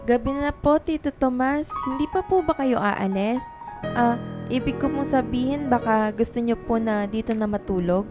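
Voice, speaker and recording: A woman acts out a sweet young girl in a radio drama. The voice is very high, childlike and eager, with a pleading streak. Music plays faintly behind. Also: moderate pace, natural voice.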